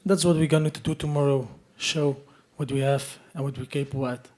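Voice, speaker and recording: A man's voice: medium pitch, steady tone, a little fast, darkish tone. A male kickboxer giving an assured answer at a press conference.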